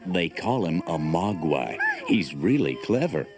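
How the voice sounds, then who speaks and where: A man plays a proud middle-aged dad with a deep, lively voice. It is children's story-tape acting, with music behind the words. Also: measured pace. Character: beaming, proud father showing off an exotic pet. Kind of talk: children's read-along audio drama acting. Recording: cassette transfer with music behind.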